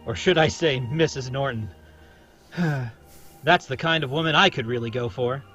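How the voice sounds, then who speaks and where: A man's voice: medium pitch, a little slow, fairly bright tone, soft background music, natural voice. A man playing a folksy, admiring old-time radio announcer bantering between scenes. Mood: admiring, wistful.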